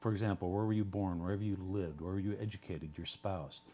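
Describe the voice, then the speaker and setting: A man with a somewhat low voice hosts a local television interview. He asks his questions casually, often restarting mid-sentence. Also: mellow. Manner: casual, halting, friendly questioning. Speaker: TV host.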